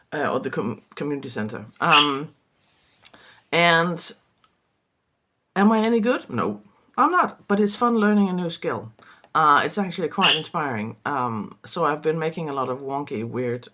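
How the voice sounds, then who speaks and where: A woman talks casually to the camera, her voice croaky and scratchy with hoarseness. She chats in a relaxed, self-deprecating way from her art studio. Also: low voice. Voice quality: itchy, scratchy throat. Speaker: artist. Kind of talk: casual vlog chat to camera.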